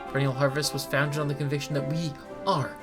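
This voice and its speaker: Woman playing a low-voiced grandiose male speechmaker over music. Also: little pitch movement, quick pace, lightly acted. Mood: rousing, folksy. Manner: rhetorical, emphatic oratory. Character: grandiose male town benefactor, speechifying and folksy. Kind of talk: dramatic reading of video game dialogue.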